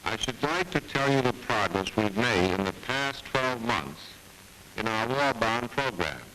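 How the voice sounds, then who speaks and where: A man's voice: mid-range voice, lively intonation, unhurried, bright, forceful tone, faint music behind, poor audio. A man, a government official, delivering a formal scripted address in 1940s style. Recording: hissy archival 1940s newsreel sound.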